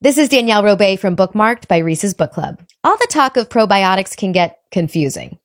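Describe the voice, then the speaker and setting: A woman's voice: medium pitch, big pitch swings, normal speed, no echo, studio quality. A woman reading a scripted product commercial in a friendly, explaining tone. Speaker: ad reader.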